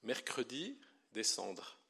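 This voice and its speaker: A bright, clear male voice of a middle-aged pastor from Belgium delivering a sermon. He preaches with lively emphasis, slowing down and leaning on key phrases. His pitch sits in a steady middle range. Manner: lively preaching, slows and stresses key words. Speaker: pastor and theology professor.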